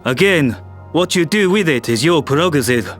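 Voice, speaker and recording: A firm, diplomatic statesman, played by a man speaks in a fairly high male voice. It is a sci-fi audio drama with quiet music beneath. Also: animated, normal speed. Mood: measured, stern. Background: faint music.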